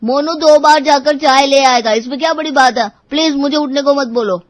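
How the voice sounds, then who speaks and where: A woman voicing a whiny, reluctant young boy who grumbles about errands in an animated moral story. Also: sits high, brisk, dry recording, close to an everyday voice. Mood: impatient, whiny. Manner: grumbling, protesting.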